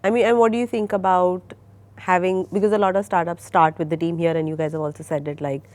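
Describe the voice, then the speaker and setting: A woman's voice: lowish voice, fairly even intonation, fast, a little mellow. A woman moderating a panel of founders, asking long, winding questions that trail off.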